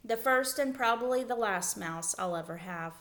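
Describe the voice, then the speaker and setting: Storybook boy camper, read by a woman, earnest and doubtful, animated high voice. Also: moderate pace. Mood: glum. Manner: earnest, protesting.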